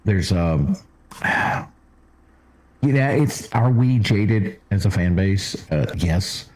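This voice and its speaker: Male co-host, deep voice, measured, casual. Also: animated, mellow. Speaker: podcast co-host. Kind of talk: casual sports chat.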